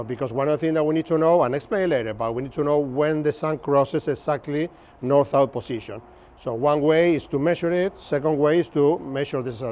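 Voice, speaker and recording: A man's voice: fairly high pitch, darkish tone, lo-fi sound, a little hiss or hum. A man in his fifties from Argentina with a Buenos Aires accent, giving a chatty, joking workshop lecture and often checking in with his listeners. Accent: Argentine Spanish, Buenos Aires. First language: Spanish. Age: 50s. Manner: chatty, jokey, keeps checking in with the audience. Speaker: instructor. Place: open-sided pavilion outdoors.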